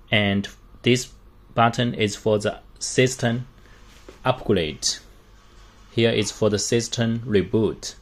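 A man giving an unscripted product walkthrough in halting, short phrases with non-native English grammar. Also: lowish voice. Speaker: product demonstrator.

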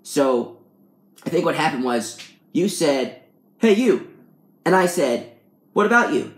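A man's voice: on the high side, sing-song, unhurried. Man playing a hapless everyman, cheeky, protesting, exasperated, swooping intonation, comic story, echoey room. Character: hapless, cheeky man narrating his misadventures. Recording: roomy, echoing room sound.

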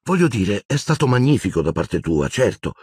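A man's voice: on the low side, very animated, moderate pace, slightly rough, dry recording, clean studio sound, pitched above the performer's own voice. A man voicing an anxious, earnest teenage girl in an audiobook, timid but stubborn, with hesitant, pleading delivery. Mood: nervous.

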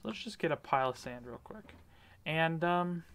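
A man's voice: fairly high pitch, lively intonation, normal speed, a bit bright. A man giving casual, rambling commentary over his gameplay, with lots of fillers. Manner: rambling, many fillers, upbeat. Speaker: gaming video creator.

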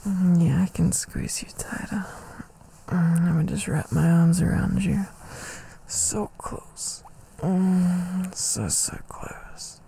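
A man doing an intimate boyfriend ASMR roleplay, affectionate and soothing, with a soft breathy voice that often drops to a whisper, close on the mic. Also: high voice, little pitch movement, slow. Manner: affectionate, soothing, murmuring with hums. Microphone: close microphone.

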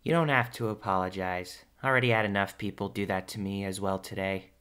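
A man's voice: low-pitched, fairly even intonation, fairly quick, fairly bright tone, clean tone, pitched below the performer's own voice. A man playing a brash, teasing young cartoon hedgehog hero in a casual fan audio drama. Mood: weary.

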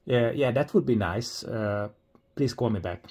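A man's voice: mid-range voice, a little slow, darkish tone. A man speaking as a caller in a demonstration phone conversation.

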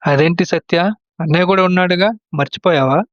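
A man's voice: high voice, a little fast, dry recording, natural voice. A man playing an earnest young husband, muffled recording. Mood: calm, reassuring. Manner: earnest, questioning. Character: trusting, easily swayed younger brother and husband. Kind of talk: moral story audio drama acting.

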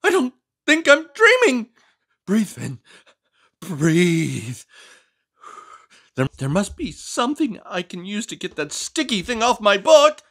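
A man voicing a fussy, excitable, melodramatic neat-freak frog in a storybook read-aloud, full of exasperated exclamations. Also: high-pitched, takes it slowly, long pauses, exaggerated voice. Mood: panicked.

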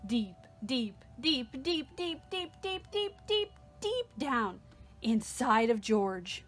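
A woman hosting storytime, reading a picture book aloud to children in an expressive way, drawing out repeated words. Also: a little high, soft background music. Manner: expressive, drawn-out repetitions. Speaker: storytime host.

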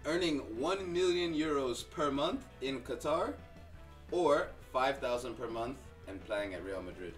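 A man's voice: medium pitch, lively intonation, measured pace. Male co-host, 20s, casual bantering questioner, studio table, prominent music behind. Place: small indoor studio at a table.

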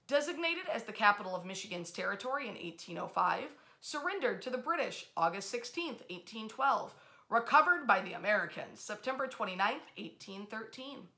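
A woman in her thirties hosting informal history storytelling to camera, with emphatic, expressive delivery. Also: medium pitch, brisk. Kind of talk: informal storytelling to camera, part read aloud. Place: small bedroom, indoors.

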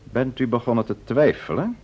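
Radio drama: composed, guarded gentleman detective, Dutch accent, swooping intonation, husky. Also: mid-range voice, sing-song, moderate pace. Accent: Netherlands Dutch. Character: composed, evasive male amateur detective and writer. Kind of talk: old-fashioned Dutch radio play acting. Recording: dull, muffled old radio recording.

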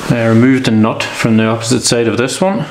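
A man narrating hands-on repair work in an unscripted, matter-of-fact way, pausing between steps as a mechanic does. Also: medium pitch, steady tone. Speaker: bike mechanic and channel host. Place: indoor workshop. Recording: clear workshop noise, clanking tools.

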